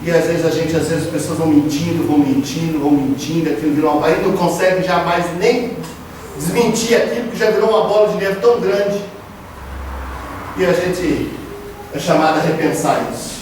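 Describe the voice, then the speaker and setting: A man's voice: on the high side, a little fast, darkish tone. A man giving an earnest religious lecture to a live audience, explaining and repeating phrases for emphasis. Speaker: speaker giving a public spiritist lecture. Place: lecture room at a spiritist house. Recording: very echoey hall, distant mic, background noise.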